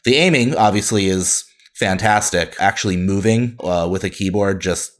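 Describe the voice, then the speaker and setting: A man's voice: low voice, moderate pace. A man talking casually on a gaming podcast, explaining game controls with a dry, teasing manner. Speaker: podcast guest, game enthusiast.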